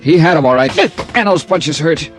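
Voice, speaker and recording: An actor playing a wisecracking, hard-boiled insurance investigator with an American accent, wry and clipped, in an old-time radio detective drama. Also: male voice, on the high side, moderate pace, faint music behind, close to an everyday voice. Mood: wry narrating. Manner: wry, clipped, tough-talking.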